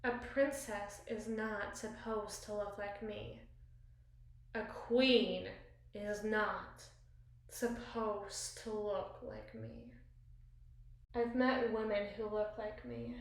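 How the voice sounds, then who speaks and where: A woman playing a battle-scarred, commanding evil queen in an intimate ASMR roleplay, speaking formally and wistfully with deliberate, theatrical pauses. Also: mid-range voice, steady tone. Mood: proud, reflective. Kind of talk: ASMR roleplay, slow dramatic monologue. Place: small bathroom, indoors. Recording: echoey tiled bathroom.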